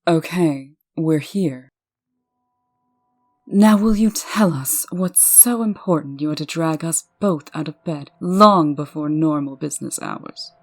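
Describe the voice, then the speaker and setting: A woman playing a brisk, proper, no-nonsense administrator in a comic dub, husky and businesslike. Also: deep voice, exaggerated voice. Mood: stern, impatient. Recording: music behind the voice.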